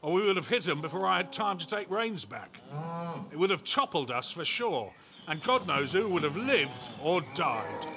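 A man's voice: fairly high pitch, sing-song, normal speed, sharp tone, heavily acted. Swooping, brash coachman. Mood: gruff. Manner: rustic dialect, gruffly plain-spoken. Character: brash, reckless, blunt 1820s stagecoach driver. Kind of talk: period audio drama acting.

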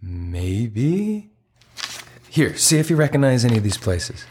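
Casting: hopeful, awkward romantic man for comedic audio play; lively intonation, polite and persistent. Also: mid-range voice, a little slow, a little hiss or hum. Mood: curious. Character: earnest, awkward, hopeful man searching for his soulmate.

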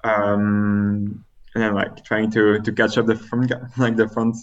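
Flat-voiced Belgian French man, hesitant. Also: lowish voice, a little slow, muffled recording. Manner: hesitant, searching for words, many fillers. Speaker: triathlete guest. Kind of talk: casual interview answers.

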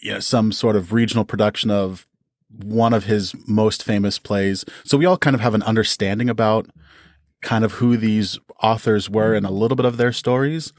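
A man's voice: fairly low pitch, fairly even intonation, normal speed, no room sound, clean studio sound. A man speaking as a podcast guest, explaining things conversationally in an enthusiastic, articulate way. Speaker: storyteller and podcast creator.

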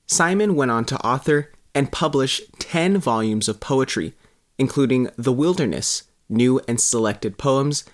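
A man narrating a scripted news article as a reporter, with a measured, formal delivery. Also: medium pitch. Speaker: newspaper reporter reading his article.

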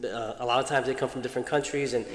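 A man of medium pitch interviews a colleague for the school, supportive and given to stammered restarts. The room has a long, echoing reverb. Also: fairly even intonation, racing. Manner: supportive, repeats words as he restarts. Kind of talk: interview, informational outreach.

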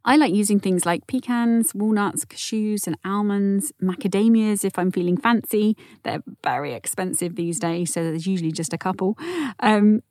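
A woman hosting a calm podcast, gently reading out listener messages with quiet near-whispered asides. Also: medium pitch, moderate pace, no echo, clean studio sound. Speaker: podcast host.

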